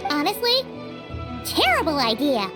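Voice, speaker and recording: Tiny floating sidekick, very high lively voice, blunt and bossy, game music under it. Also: female voice, exaggerated voice. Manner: blunt, teasing, quick to take charge. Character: tiny floating female companion, chirpy and blunt. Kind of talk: video game voice acting.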